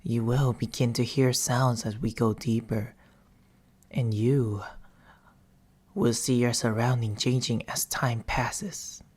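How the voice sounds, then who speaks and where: A man voicing an eerie, patient sleep paralysis demon in an ASMR roleplay, half-whispering slowly and intimately into a close microphone with coaxing, theatrical phrasing. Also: medium pitch, steady tone, breathy edge. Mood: soothing, patient. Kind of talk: ASMR roleplay, slow storytelling. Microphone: close binaural microphone.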